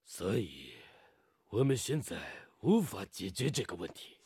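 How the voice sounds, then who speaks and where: An actor playing a proud Japanese steel company engineer in a radio drama, speaking formally and with haughty emphasis. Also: male voice, on the low side, very animated, unhurried, mellow, slightly rough, no echo, studio quality, exaggerated voice. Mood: troubled, conceding.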